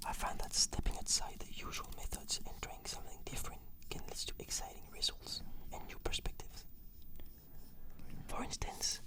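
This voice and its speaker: A breathy whisper, almost entirely unvoiced, in an ASMR style. The person plays a client giving measured, fluent scripted answers. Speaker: client answering questionnaire in a roleplay.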